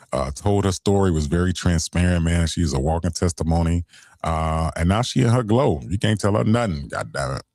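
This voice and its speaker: A young man hosts a late-night radio show in a relaxed, chatty way. His voice is very low and gravelly, with a lot of vocal fry. The sound is dry and close, with little room echo. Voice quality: deep voice. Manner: laid-back, conversational, giving shout-outs.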